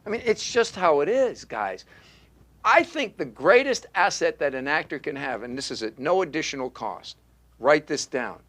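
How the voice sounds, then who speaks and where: Acting coach, male, a bit high-pitched, emphatic and repetitive, teaching a class in a studio. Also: lively intonation, moderate pace, a bit bright. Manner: emphatic, repetitive, punchy call-and-response teaching.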